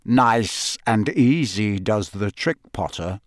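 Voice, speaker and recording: A man voicing a gruff old male professor from a storybook, calm and growling. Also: lowish voice, slow, no echo, clean studio sound, pitched below the performer's own voice. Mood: gruff, pleased.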